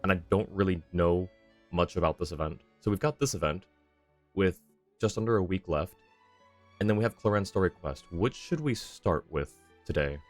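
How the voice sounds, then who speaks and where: A man with a low-pitched voice talks casually as he hosts a gaming livestream. He is friendly and quick to apologise, and music plays behind him. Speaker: livestream host, gamer. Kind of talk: casual game stream chat, reading chat and game dialogue aloud.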